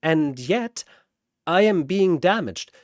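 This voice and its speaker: A man's voice: on the high side, expressive, slow pace, no room sound, studio quality, pitched above the performer's own voice. A man voicing a polite, analytical android officer who speaks precisely and formally in a science fiction story. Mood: detached curiosity.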